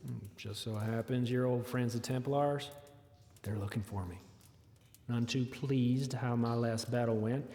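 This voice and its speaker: An actor playing a wary, folksy fugitive mage in an audio fantasy drama, speaking in drawling rustic phrases. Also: male voice, mid-range voice, fairly even intonation, a little slow, warm tone, lightly acted. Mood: wary, reasoning. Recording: roomy, long echo.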